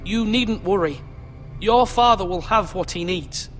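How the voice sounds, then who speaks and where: A man's voice: high-pitched, measured pace, a bit bright. An actor playing a proud, dutiful boy lord in a fantasy game, speaking formally and firmly. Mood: formal, guarded. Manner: formal, earnest, firm. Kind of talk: dramatic fantasy game acting. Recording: reverberant hall, music underneath.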